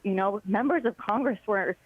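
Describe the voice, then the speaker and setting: A young woman reporter speaking over a dull, lo-fi phone line, her voice in an ordinary middle pitch. She recounts what happened haltingly, with plenty of filler words. It is a live phone-in to a news broadcast. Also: breathy edge, no room sound. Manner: hesitant, many filler words, recounting events. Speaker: congressional reporter.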